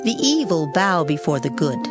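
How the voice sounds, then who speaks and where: Female scripture reader, slightly low voice, solemn measured dramatic delivery over a music bed. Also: very animated. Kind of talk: dramatized Bible reading.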